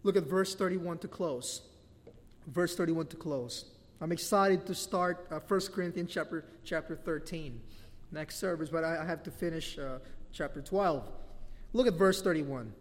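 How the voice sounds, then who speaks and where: High-voiced Filipino pastor preaching. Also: male voice, normal speed. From Philippines. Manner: animated preaching with frequent laughs. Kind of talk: sermon. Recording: long hall reverb.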